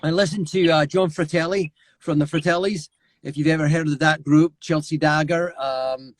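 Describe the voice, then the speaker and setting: A middle-aged man, a voice actor, telling lively anecdotes over a remote call and slipping into character voices. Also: fairly high pitch, moderate pace, noisy background. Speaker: voice actor guest. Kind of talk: animated anecdotal storytelling.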